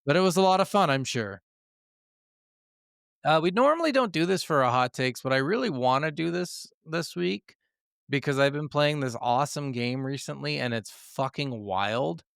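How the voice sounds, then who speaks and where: A man's voice: fairly high pitch, lively intonation, normal speed, gravelly, a bit bright, smooth, no echo, studio quality. A man co-hosting a casual gaming podcast, talking in an enthusiastic, profanity-laced way. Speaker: podcast co-host.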